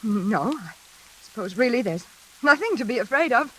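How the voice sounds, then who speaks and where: A nervous woman in a vintage radio suspense play. Her voice is high and bright, with anxious repetitions and halting pleas. The old recording carries a faint hiss. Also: lively intonation, low-quality recording. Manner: halting, anxious, pleading, repeating herself. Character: nervous, frightened woman passenger trapped in an elevator. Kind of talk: classic radio suspense drama acting.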